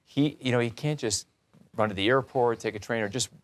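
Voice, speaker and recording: A man's voice: medium pitch, fairly quick. A man hosting a religious talk show, interviewing in an earnest, reflective, conversational way.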